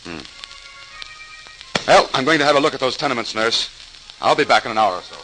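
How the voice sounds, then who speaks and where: An actor playing an earnest, inquisitive surgeon of about thirty in an old-time radio drama, polite and thoughtful. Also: male voice, medium pitch, animated, a little fast, pushed and bright, faint music behind, rough recording. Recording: old radio recording, faint hiss.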